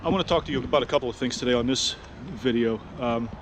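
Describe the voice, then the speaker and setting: A man's voice: mid-range voice, fast talker. Sick, blunt man vlogging outdoors. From Pittsburgh, United States. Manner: blunt, profane, rambling. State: sick with a head cold. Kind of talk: walking street vlog. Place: city street at night, outdoors. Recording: street noise behind the voice.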